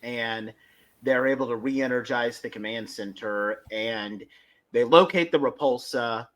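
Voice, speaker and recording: A man's voice: medium pitch, unhurried, fairly bright tone. A man hosting a fan podcast, casually recapping and reviewing episodes with enthusiasm. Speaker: podcast host.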